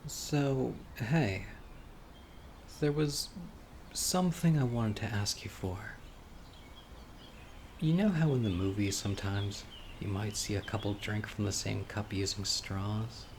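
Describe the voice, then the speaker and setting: A man playing a gentle, eager, critically ill young man with a secret obsessive streak on a date, in an audio roleplay, speaking hesitantly and softly with muttered asides. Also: lowish voice, slow, pauses often, a little hiss or hum. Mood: eager, shyly tender. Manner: hesitant, soft-spoken, with muttered whispered asides. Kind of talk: roleplay audio drama, intimate boyfriend monologue. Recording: outdoor nature ambience, birds and insects. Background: birdsong, crickets and insects.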